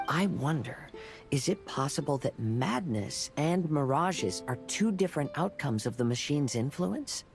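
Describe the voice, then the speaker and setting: A man playing a calm, cautious young swordsman in a video game, speaking in a measured, reflective way. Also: a little high, vocal fry, over music. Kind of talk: video game cutscene acting.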